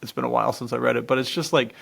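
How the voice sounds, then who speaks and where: A man co-hosting a podcast, chatting casually and excitably in slang, often breaking into a laugh. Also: mid-range voice, racing, gravelly, slightly rough. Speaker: podcast co-host. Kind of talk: casual enthusiastic chat about adventure fiction.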